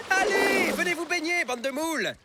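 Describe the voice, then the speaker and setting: Cocky cartoon fox. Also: male voice, extremely high pitch, full character voice. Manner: brash, bossy, playful. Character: cocky scheming young male fox. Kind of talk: French cartoon dubbing.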